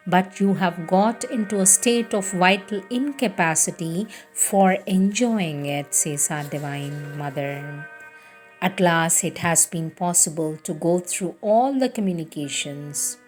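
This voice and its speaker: Slow, measured woman reading devotional text. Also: a little high. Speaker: reader. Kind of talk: devotional reading of spiritual letters.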